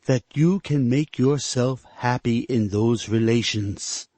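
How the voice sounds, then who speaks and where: A man reading aloud and voicing a stern, coldly practical older mill-owner who lectures in a stiff, measured way. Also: mid-range voice, mellow, dry recording. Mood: gently stiff.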